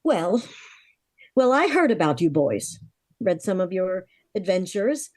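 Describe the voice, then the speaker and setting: A woman's voice: fairly low pitch, sing-song, measured pace, darkish tone, dry recording, studio quality. A woman playing a worried, frank young heiress of twenty-four in a vintage-style radio drama, confiding her story earnestly. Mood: grave, worried.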